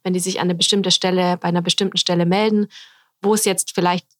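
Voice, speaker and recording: A woman's voice: fairly low pitch, level tone, fast, no echo, studio quality. A woman, a health scientist, explaining her research in a podcast interview, thoughtful with frequent hesitations.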